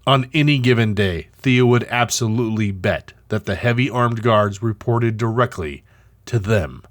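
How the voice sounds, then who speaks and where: A man's voice: lowish voice, moderate pace. A man reading dramatic scripted narration as the narrator of an audio drama. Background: music.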